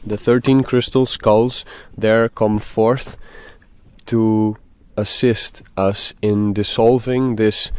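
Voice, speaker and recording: A man guides a meditation in a low, very even voice. He speaks slowly and deliberately, leaving space between short phrases. The sound is close and dry. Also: smooth, dry recording. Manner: slow, measured, pausing between short phrases.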